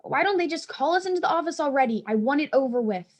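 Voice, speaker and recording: Woman playing a high-voiced, fretful schoolgirl. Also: lively intonation, fast talker. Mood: dramatic, restless. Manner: fretful, dramatic. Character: anxious, dramatic schoolgirl dreading punishment. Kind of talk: staged play reading.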